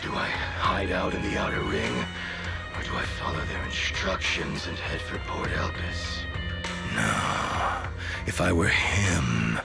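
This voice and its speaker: Gravelly, very deep brutal henchman. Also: sing-song, slow, full character voice. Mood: bitter, calculating. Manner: menacing, contemptuous, hot-tempered. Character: brutal, arrogant male killer turned cornered henchman. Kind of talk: dramatic video game voice acting. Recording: loud music under the voice. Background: loud music.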